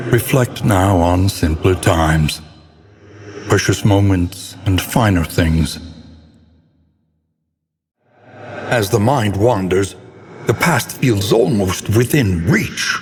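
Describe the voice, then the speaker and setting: Very deep, slow, brooding gothic narrator. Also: male voice. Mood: solemn, encouraging. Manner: solemn, ornate, theatrical delivery. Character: grave, brooding male narrator of a gothic horror game. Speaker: game narrator. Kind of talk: scripted dramatic video game narration. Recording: long cavernous reverb.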